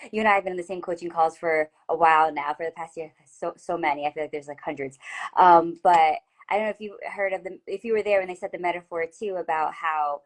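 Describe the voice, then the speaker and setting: A woman with a fairly low voice hosts a relaxed interview over a video call. She talks in an affirming, chatty way and often stops to restart her sentences. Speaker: host.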